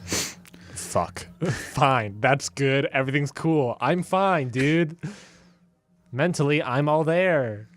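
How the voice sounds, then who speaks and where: Male tabletop player, medium pitch. Casual banter, halting delivery, sardonic and foul-mouthed. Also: expressive, soft background music. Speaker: player in a tabletop game podcast.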